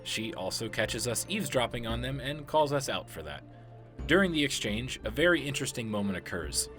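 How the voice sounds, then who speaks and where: A man's voice: medium pitch, expressive, fairly quick, a bit bright. A man giving scripted narration as a video game lore explainer. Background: background music.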